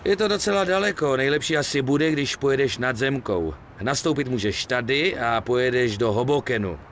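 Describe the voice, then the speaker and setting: Weary gangster for a game, colloquial middle-aged tough, gravelly, some noise behind. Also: male voice, medium pitch, lively intonation, a bit bright. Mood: businesslike. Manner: colloquial, grumbling, swearing. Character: weary, streetwise middle-aged male gangster planning a robbery. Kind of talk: Czech video game dubbing.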